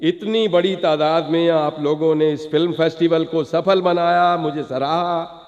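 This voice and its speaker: An actor playing an acclaimed film director giving a grateful, lofty, formal speech in a radio play, in measured phrases. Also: male voice, fairly high pitch, steady tone. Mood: solemn gratitude. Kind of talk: radio play acting as a public address. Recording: muffled, echoing hall-like reverb.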